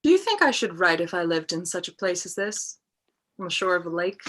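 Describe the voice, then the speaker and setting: Woman playing a world-weary novelist, bright-voiced. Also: lowish voice, big pitch swings, fairly quick, slight background noise. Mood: reflective. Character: world-weary, self-absorbed male novelist fond of fishing. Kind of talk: cold read of a classic stage play. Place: at home on a video call.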